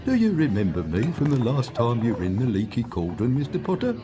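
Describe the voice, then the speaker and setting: Effusive, swooping wizard. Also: male voice, mid-range voice, wildly swooping pitch, brisk, music in the background. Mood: delighted. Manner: effusive, courteous. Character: excitable, eager, courteous little wizard man. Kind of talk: video game voice acting.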